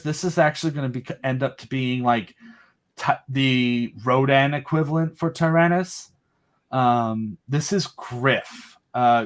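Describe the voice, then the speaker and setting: Eager rambling man explaining his creation. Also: mid-range voice. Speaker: guest, creator of an audio drama. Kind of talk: casual interview chat.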